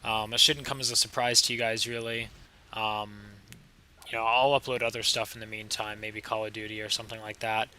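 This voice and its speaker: A young man with a slightly raspy, sick voice, casually commenting over his own gameplay footage with a chatty, laid-back manner. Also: fairly low pitch, flat delivery, fairly quick, sharp tone, slight background noise, poor audio. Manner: casual, laid-back, chatty with frequent fillers and short laughs. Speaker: gaming channel host.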